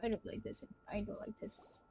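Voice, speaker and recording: A young woman from Malaysia giving casual live-stream commentary on a game, with a husky voice. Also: normal speed, warm tone, slight background noise. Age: young adult.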